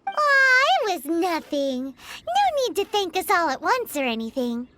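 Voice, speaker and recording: A little floating fairy girl from a video game, excitable and greedy. The voice is extremely high, squeaky and childlike, leaping around in bubbly exclamations over loud music. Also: measured pace, full character voice. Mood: chirpy. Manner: bubbly, exclamatory, refers to self in third person. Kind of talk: animated video game voice acting.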